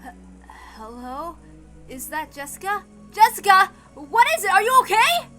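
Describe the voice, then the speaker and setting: A woman voicing a hot-headed, protective teenage boy in a visual novel reading, loud, urgent and exclamatory. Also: very high voice, big pitch swings, normal speed, pitched above the performer's own voice, heavily acted. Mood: alarmed. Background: music.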